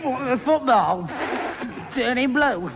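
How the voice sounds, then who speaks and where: A man's voice: very high voice, animated, takes it slowly, heavily acted. A man playing a daft, put-upon boy sidekick, stammering and grumbling in a 1960s radio sitcom. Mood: indignant, flustered. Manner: flustered, stammering repeats. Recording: muffled, very noisy 1960s radio recording.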